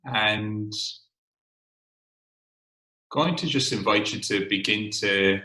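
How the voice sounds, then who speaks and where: A man in his thirties with a Cork Irish accent, calmly leading a wellbeing webinar and gently inviting listeners into an exercise. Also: fairly low pitch, slow pace, echoey room. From Ireland. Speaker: workshop facilitator. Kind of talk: webinar presentation. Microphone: video call.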